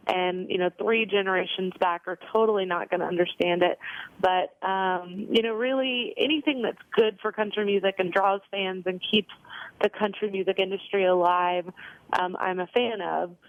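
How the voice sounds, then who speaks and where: Young female country singer, casual and talkative, flat delivery, dry thin phone sound. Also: fairly low pitch, moderate pace, no room sound, narrow, tinny audio. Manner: chatty, many ums and you knows. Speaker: country singer, interview guest. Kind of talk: casual interview answers.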